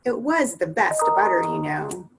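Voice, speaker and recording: A woman's voice: fairly low pitch, expressive, measured pace, vocal fry, loud music behind the voice, exaggerated voice. A woman voicing a contrary, meek talking male hare in a storybook reading, matter-of-fact in tone.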